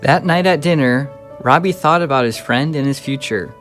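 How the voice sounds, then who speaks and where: A man's voice: medium pitch, moderate pace, a bit bright, soft background music. A man narrating a scripted children's story as a storyteller.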